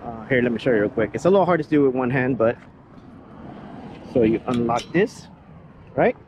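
A man's voice: mid-range voice, expressive, normal speed. A man giving a casual, rambling walkaround of his own vehicle outdoors, with lots of fillers and restarts. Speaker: vehicle builder showing his truck. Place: outdoors beside a parked vehicle. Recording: faint outdoor background noise.

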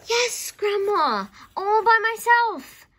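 A woman's voice: very high voice, very animated, slow, fairly bright tone, pitched above the performer's own voice, exaggerated voice. A woman voicing an earnest young grandson in a storybook, calling out insistently. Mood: insistent, protesting.

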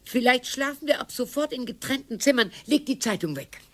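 An older woman playing a weary, sardonic, dryly morbid wife in a radio play, speaking deliberately. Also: sits high, lively intonation. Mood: annoyed.

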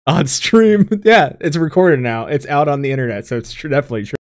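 A man's voice: on the high side, sing-song, a little fast, dry recording, studio quality. A man in his thirties co-hosting a casual gaming stream, rambling with lots of fillers, laughing and swearing as he goes. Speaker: podcast co-host. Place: home room with green screen.

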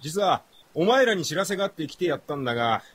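A husky, fairly high young man, breezy and cocky. He is a free-spirited drifter with swooping, animated radio drama delivery. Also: fast, heavily acted. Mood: teasing. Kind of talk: Japanese radio drama acting.

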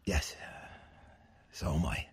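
An actor playing a grieving, hesitant Irish working man in a radio drama, speaking haltingly with an Irish accent. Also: lightly acted. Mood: subdued. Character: Martin, grieving, hesitant working son of a dead mother. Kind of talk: naturalistic radio drama acting.

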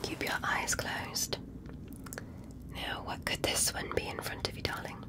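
Woman acting as female alien examiner, ASMR medical roleplay, whispery, slow-paced, soothing, reassuring, clinical politeness. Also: natural voice. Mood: soothing, calm.

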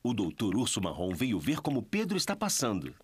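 A man narrating a children's cartoon dub in an even storybook manner. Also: on the low side, a little fast, background noise. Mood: calm.

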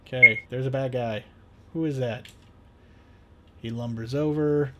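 A young adult man hosting a casual software tutorial, thinking aloud in an informal, playful, rambling way. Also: mid-range voice, fairly even intonation, unhurried. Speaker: tutorial host. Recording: clear background noise.

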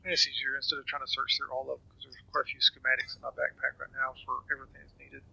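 A man talks through a game tutorial in a very bright, forward voice with a husky, raspy grain. His delivery is casual and unscripted, with hesitant pauses and phrases that trail away. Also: mid-range voice, completely flat delivery, no echo, rough recording. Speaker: gamer giving a tutorial. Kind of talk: casual game walkthrough commentary.

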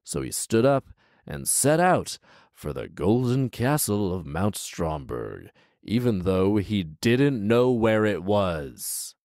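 A man in his thirties with a lowish voice, recorded dry and close. He tells a story in a casual, comic way, slipping between reading aloud and exasperated, bantering asides. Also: very animated, takes it slowly, darkish tone, no room sound. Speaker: storyteller and host. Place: small living room, indoors. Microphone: handheld microphone held close.

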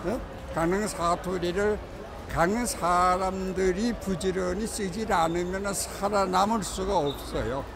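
An older man speaks slowly and deliberately, his voice sitting fairly high. He is recorded outdoors under a festival tent, with clear traffic and street noise behind him. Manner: slow, deliberate, earnest. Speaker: interviewee, judge. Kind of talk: interview comment.

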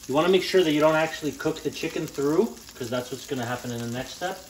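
A young man hosting a home cooking channel, talking through a recipe step by step in a relaxed, plain-spoken way with small fillers and self-corrections. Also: on the high side, quick pace, slight background noise. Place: home kitchen at the stove.

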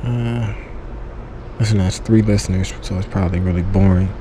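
A man's voice: sits low, fairly even intonation, moderate pace. A man giving a casual, unscripted app review in a slangy, joking, offhand way. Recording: clearly noisy background.

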